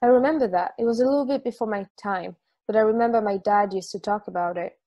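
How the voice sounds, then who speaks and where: A woman's voice: mid-range voice, quick pace, warm tone, dull audio, natural voice. A young woman playing a casual, guarded traveler chatting at a bar, in an online table read of a stage play. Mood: reminiscing. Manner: casual, plainspoken. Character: plainspoken, guarded female traveler, easygoing bar customer. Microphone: video call microphone.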